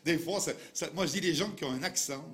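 A man's voice: fairly high pitch, quick pace, a bit bright, lightly acted. An actor playing a comic, grumbling old Dauphinois countryman with a Dauphinois accent, rambling and stammering in mock indignation. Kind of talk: comic monologue sketch.

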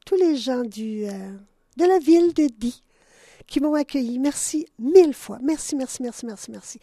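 An older woman with a Canadian French accent speaks in a high, mellow voice, effusive and affectionate, often breaking into laughter. She hosts a radio show from a dry-sounding studio. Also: no echo. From Ontario, Canada. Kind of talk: casual radio chat.

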